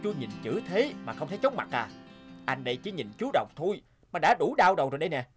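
A swaggering, animated gambler. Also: male voice, a little high, quick pace, faint music behind. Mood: scornful. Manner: swaggering, scornful. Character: cocky boastful young male gambler, older brother. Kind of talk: animated moral tale dialogue.

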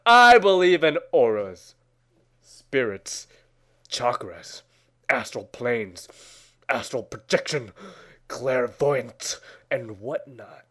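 Man reading slowly with swooping mock drama. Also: mid-range voice, big pitch swings. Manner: theatrical, overwrought, with long dramatic pauses; swings between hushed whispers and loud declaiming.

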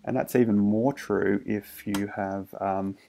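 A man's voice: on the low side, fairly even intonation, a little slow, creaky, warm tone, slight background noise. A man casually narrating a hands-on electronics demo in a hesitant, understated way, thinking aloud. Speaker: electronics hobbyist presenter.

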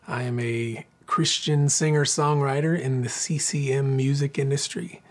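A man's voice: medium pitch, fairly even intonation, measured pace. A man in his thirties from Southern Oregon, a singer-songwriter telling his life story in an earnest, reflective way with many fillers and restarts. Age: 30s. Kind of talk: personal interview storytelling.

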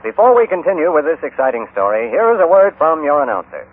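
A man's voice: on the high side, very animated, quick pace, noisy background. An American man narrating a serial in scripted old-time radio style. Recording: muffled old radio broadcast.

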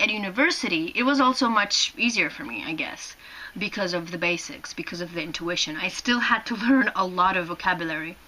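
A young Polish woman with a crisp, bright tone speaks English with a slight Canadian lilt. She chats casually into a camera from a small room, gesturing a lot and often repeating words as she restarts sentences. The voice sits at an ordinary pitch for a woman. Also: poor audio. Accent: Canadian-tinged English. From Poland. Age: young adult. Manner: animated, gesturing, frequent restarts and laughs. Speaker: vlogger. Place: small room indoors, sitting on a bed.

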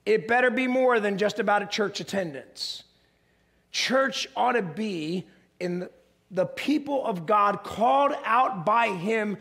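Man, middle-aged, pastor, gravelly, hoarse, slightly high voice, animated sermon delivery, church stage. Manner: animated, gesturing while pacing the stage, rising to emphatic peaks. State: hoarse, voice strained. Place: large church stage with an audience.

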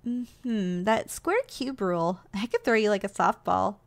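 A woman playing a doting, gentle giantess secretary in an ASMR roleplay, speaking in a caring, softly teasing, slightly hesitant way. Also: mid-range voice, a little slow, gravelly. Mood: curious, playful.

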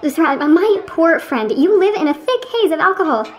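A pompous, irritable man acted by a woman in a radio play. The voice sits high and rises and falls sharply. The delivery is condescending and indignant, scolding a friend with fussy precision. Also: very animated, normal speed, a faint crowd. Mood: exasperated.

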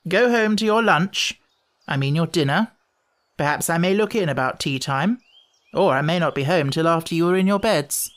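A woman reading a children's storybook, voicing a languid, condescending young gentleman, a grown-up baby brother with old-fashioned slang. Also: on the low side, normal speed, close to an everyday voice.

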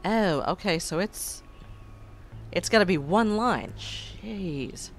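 Slow, hoarse let's-play host. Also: male voice, sits high, animated, slight background noise. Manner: wry, sarcastic asides. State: hoarse after being sick. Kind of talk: casual game commentary. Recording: faint game music behind.

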